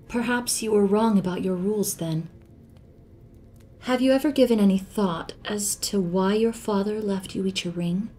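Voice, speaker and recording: A woman's voice: mellow, lightly acted. A woman playing a sly, imprisoned moon goddess in an ASMR roleplay drama, with a composed, teasing, sardonic manner. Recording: faint noise, low rumble behind voice.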